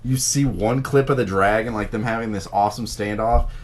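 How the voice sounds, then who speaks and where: A man's voice: lowish voice, a little fast, slight background noise. A man co-hosting a podcast, chatting and joking casually with friends. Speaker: podcast co-host.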